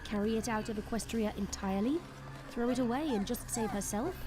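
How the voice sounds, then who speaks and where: A woman giving dramatic scripted narration for an audio drama, expressive and suspenseful. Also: fairly high pitch, a little fast. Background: prominent background music.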